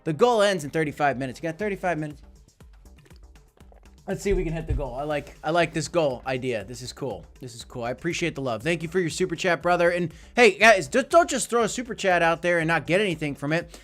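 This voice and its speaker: A man hosting a casual crypto live stream, chatty and hyped, calling out viewers by name, his voice going hoarse. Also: on the high side, expressive, fast, a bit bright, faint music behind. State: losing his voice.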